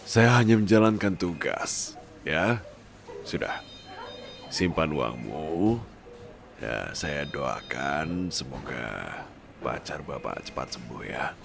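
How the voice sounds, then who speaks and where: A man playing a calm, modest hospital doctor, speaking softly and formally in a measured way for an ASMR roleplay. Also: extremely low pitch, gravelly, over music, full character voice. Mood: calm, reassuring.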